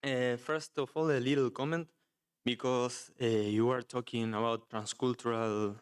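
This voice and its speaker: A man's voice: mid-range voice, little pitch movement, measured pace, smooth. Nervous Argentine man asking from the audience. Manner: hesitant, many "uh"s. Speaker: audience member asking a question.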